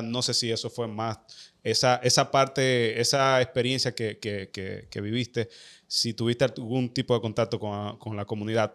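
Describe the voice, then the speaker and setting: A man with a Dominican Spanish accent hosting a conversational interview, speaking haltingly and carefully and often repeating phrases as he frames questions. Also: mid-range voice, fairly bright tone. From Dominican Republic. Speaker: podcast host.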